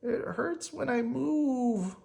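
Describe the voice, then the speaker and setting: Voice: high voice, lively intonation, takes it slowly, creaky, dark tone, voice pushed up, exaggerated voice. An adult reader voicing a tearful, pleading young child in a picture-book read-aloud. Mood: pained, pleading.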